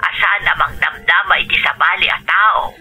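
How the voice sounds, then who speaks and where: A woman's voice: lowish voice, steady tone, moderate pace, bright, forceful tone, husky, exaggerated voice, low-quality recording. A woman playing a tender, patient older mother in a radio drama, soothing and emotive, with an Ilocano accent, over music. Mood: consoling. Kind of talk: Filipino radio drama acting.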